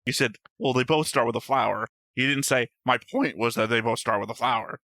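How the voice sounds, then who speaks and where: A man's voice: medium pitch, fairly quick, bright, forceful tone, dry recording, studio quality. A man co-hosting a reaction show, casually teasing and mocking his friend while they argue. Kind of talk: casual banter reacting to anime.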